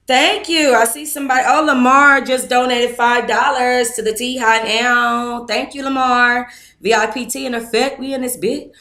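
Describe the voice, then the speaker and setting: A woman's voice: fairly high pitch, steady tone, normal speed, pushed and bright. A woman hosting a book club, reading a book aloud on a live stream and chatting casually with her viewers between passages. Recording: echoey room.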